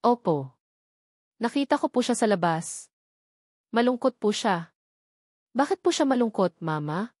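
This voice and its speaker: A woman's voice: a little high, big pitch swings, unhurried, pauses often, darkish tone, dry recording, clean studio sound. A woman voicing an innocent, worried little girl in a narrated story, polite and questioning.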